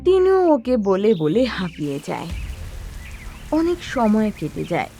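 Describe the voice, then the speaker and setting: A woman's voice: on the low side, mellow, slight background noise. A woman narrating a scripted children's cartoon story as a storyteller.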